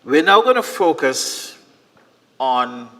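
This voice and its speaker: A man moderating a conference, introducing the next session and presenter in a measured, emphatic way. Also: mid-range voice, sing-song, pushed and bright. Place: conference hall. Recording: reverberant conference hall.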